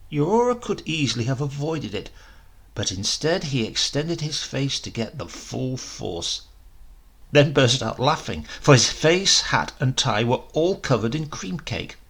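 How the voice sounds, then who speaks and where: A male narrator reads a crime story with real theatrical flair. His voice is gravelly and husky, and his pitch moves widely as he shapes each phrase in an expressive, storytelling delivery. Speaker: story reader. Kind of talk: scripted literary narration of a crime tale.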